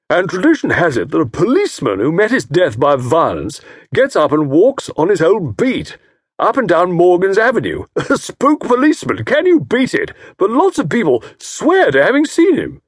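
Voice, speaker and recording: A man reading aloud, voicing a loud, jovial middle-aged man who booms and laughs. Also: wildly swooping pitch, moderate pace, husky, dry recording, studio quality, full character voice. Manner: booming, laughing, hearty.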